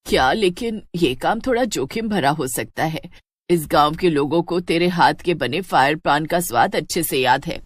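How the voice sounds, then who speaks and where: Elderly mother-in-law for animated tale, worried and loving, lowish pitch, dry sound woman performing. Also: normal speed, dry recording. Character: anxious, loving old village mother-in-law.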